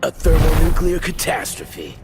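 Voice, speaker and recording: A man's voice: a little high, very animated, unhurried. A man voicing a cocky young superhero with fiery powers in a video game, brash and wisecracking. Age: young adult. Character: cocky young male superhero who wields nuclear fire. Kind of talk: video game character dialogue. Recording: game audio with music behind.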